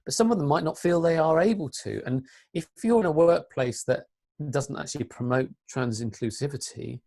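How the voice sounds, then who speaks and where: A woman with a deep voice and an English accent, speaking earnestly as an interview guest, with frequent restarts and fillers. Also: animated, moderate pace, vocal fry, dark tone. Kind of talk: interview conversation.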